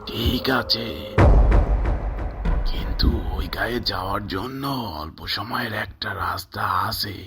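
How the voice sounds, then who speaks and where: A man playing a vengeful thousand-year-old forest spirit in an animated horror folktale, speaking with deliberate menace. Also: fairly low pitch, gravelly. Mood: falsely reassuring. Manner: menacing, slow deliberate delivery with drawn-out cackles. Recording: loud music behind the voice.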